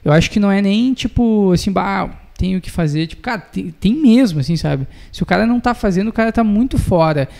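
A man's voice: high-pitched, expressive, a little fast, gravelly, hardly any pauses, darkish tone. A young man with a southern Brazilian accent chatting casually as a guest musician into a close podcast mic. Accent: southern Brazilian (gaúcho). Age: young adult. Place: small indoor podcast studio. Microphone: close studio mic on a boom arm.

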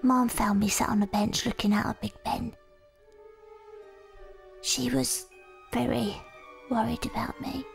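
Woman playing haunted young Victorian woman, hushed near-whisper narration, very gravelly voice. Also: on the high side, steady tone, unhurried, pauses often, soft background music. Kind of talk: first-person audio drama narration.

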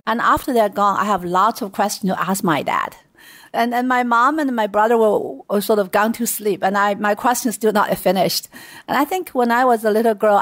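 A middle-aged woman with a Chinese accent, a guest telling stories in a relaxed interview, laughing lightly now and then. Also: mid-range voice, no echo. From China. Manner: chatty storytelling with light laughs. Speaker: guest, venture investor. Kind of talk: casual interview.

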